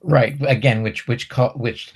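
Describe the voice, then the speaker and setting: A man hosting a film festival Q&A, speaking in an articulate, effusive way with measured phrasing. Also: medium pitch, fairly even intonation, breathy edge. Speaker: festival host interviewing a film director. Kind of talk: moderated Q&A interview.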